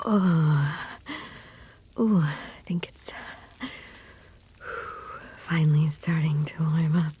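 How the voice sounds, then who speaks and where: A woman playing a shy, affectionate lamia, soft and hesitant, often near a whisper, in an intimate roleplay audio drama. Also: deep voice, steady tone, drawn-out words, pauses often, a little hiss or hum. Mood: cozy, nostalgic. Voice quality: breathy, often dropping to a whisper. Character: shy, cold lamia snake-woman, affectionate childhood friend.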